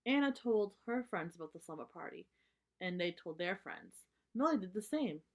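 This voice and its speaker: A woman's voice: fairly low pitch, expressive, moderate pace. A woman reading a children's story aloud, explaining things in simple terms for young listeners.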